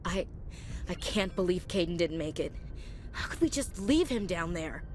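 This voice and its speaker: Low-voiced, earnest woman marine. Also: big pitch swings, normal speed. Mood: guilt-ridden. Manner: earnest, soldierly. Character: dutiful young female marine, earnest and proud. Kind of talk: video game voice acting. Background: music.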